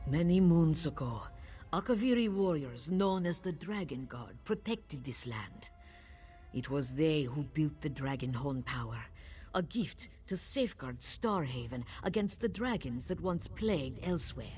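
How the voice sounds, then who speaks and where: A woman playing a grave, weary cat-folk villager in a fantasy game, speaking in a solemn, measured, dignified way. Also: deep voice, expressive, a little mellow, soft background music. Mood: solemn, wistful. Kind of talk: scripted fantasy game dialogue.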